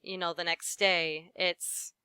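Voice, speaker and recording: A woman voice actress talks shop in a relaxed interview, with a bright, crisp and very clear voice. She is friendly and laughs often, and sprinkles her talk with "you know." The recording is close and dry. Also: on the low side, slow, no room sound. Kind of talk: casual interview chat.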